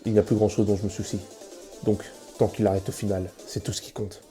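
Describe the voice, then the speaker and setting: A man voices a weary monster schemer for a comic dub. The voice is low and extremely mellow, flat in pitch yet quick, with a cold, deadpan menace. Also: faint music behind, close to an everyday voice. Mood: weary, indifferent. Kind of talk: fan comic dub acting.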